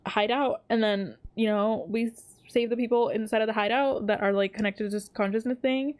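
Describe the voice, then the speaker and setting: A woman's voice: a little high, steady tone, fairly quick, a little mellow. A young woman giving casual, chatty, slangy reaction commentary as she plays a video game. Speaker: gaming streamer. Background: game theme music.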